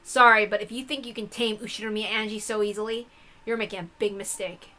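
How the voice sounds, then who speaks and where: A woman's voice: mid-range voice, steady tone, quick pace, bright, forceful tone, natural voice. A woman voicing a troubled but defiant young story heroine with an earnest, questioning manner.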